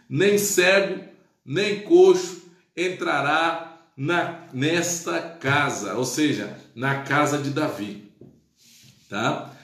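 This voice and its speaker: A man's voice: fairly high pitch, reverberant. A male pastor teaching the Bible in a live stream, exclaiming praise and checking in with his listeners, his Portuguese touched by Spanish. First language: Spanish influence.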